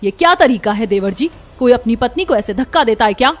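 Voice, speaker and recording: A woman's voice: a little high, animated, quick pace. A woman voicing a hard-pressed young village daughter-in-law in a cartoon, plaintive and respectful. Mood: indignant.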